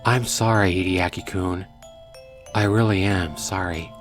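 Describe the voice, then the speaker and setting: A man voicing a gentle, apologetic young woman from a visual novel, softly and haltingly, with music behind. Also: sits low, fairly even intonation. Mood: tearful, soothing.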